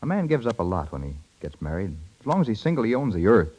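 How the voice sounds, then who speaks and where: An actor playing a proud, stubborn, resentful young husband with emphatic delivery in a 1930s radio drama. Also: male voice, on the low side, very animated, quick pace, a little mellow, slightly rough, lo-fi sound. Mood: frustrated.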